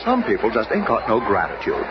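A man acting a brisk, theatrical comic-book character in a radio drama. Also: lowish voice, wildly swooping pitch. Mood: slick. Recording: muffled, noisy old radio recording.

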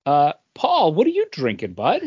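A man's voice: on the high side, huge pitch swings, moderate pace, breathy edge, dry recording. A man co-hosting a podcast, chatting casually and informally. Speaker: podcast co-host. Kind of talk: casual chat. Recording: dry, dull lo-fi audio.

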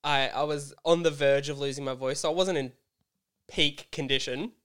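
Australian guy in his 30s, slightly hoarse yet resonant, animated storyteller, swears casually. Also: on the high side, moderate pace. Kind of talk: casual chat.

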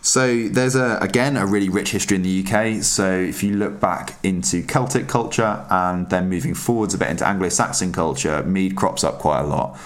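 A man with a cold, speaking as a podcast guest and explaining things in a relaxed way with lots of fillers and restarts. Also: sits low, moderate pace, few pauses. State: under the weather, voice deeper than usual. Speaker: podcast guest, mead maker. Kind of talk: casual podcast chat.

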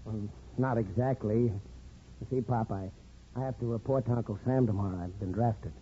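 An actor playing an eager, earnest young son, a little hesitant, in 1950s radio drama style. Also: male voice, lowish voice, moderate pace, mellow, close to an everyday voice. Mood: earnest, subdued. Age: young adult. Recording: muffled 1950s radio broadcast, noisy.

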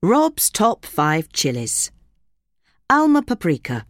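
A woman reading a scripted gardening article aloud in a measured, informative way. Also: fairly low pitch, huge pitch swings, no room sound, studio quality. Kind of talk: scripted narration, read aloud.

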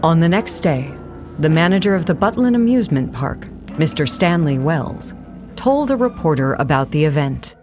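A narrator reading scripted historical material for an audio play in a measured, matter-of-fact way, with music underneath. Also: medium pitch, big pitch swings, lightly acted. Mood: measured, factual.

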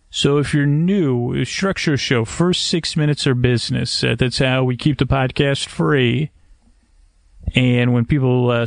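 A man hosting a sleep podcast in a halting, digressive, meandering monologue, often interrupting himself and trailing off, with a gravelly creak to his voice. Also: mid-range voice, dry recording, studio quality. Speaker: podcast host. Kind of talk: rambling, meandering bedtime monologue to help listeners sleep.

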